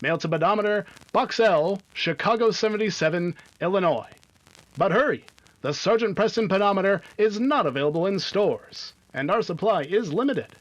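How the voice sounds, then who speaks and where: Children's radio pitchman, male actor, 1950s style: high voice, enthusiastic, clearly enunciated, dull lo-fi recording. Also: lively intonation, normal speed. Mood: eager, selling. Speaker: radio announcer.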